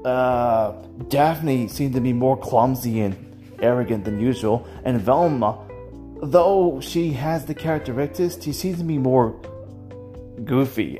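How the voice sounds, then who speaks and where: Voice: medium pitch, mellow. A person giving casual, rambling solo commentary as a host, with a hesitant way of talking that circles back over phrases.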